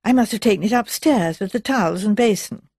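Lady reader doing a quiet polite vicar's wife, genteel, bit hesitant, audiobook, very dry sound. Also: medium pitch, fairly quick, breathy edge, no room sound. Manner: soft-spoken, hesitant, genteel. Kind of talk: audiobook character voice.

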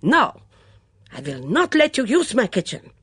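Excitable foreign cook, high and indignant. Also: female voice, unhurried, slightly rough, no echo, studio quality, exaggerated voice. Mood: defiant. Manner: broken English with emphatic repetition.

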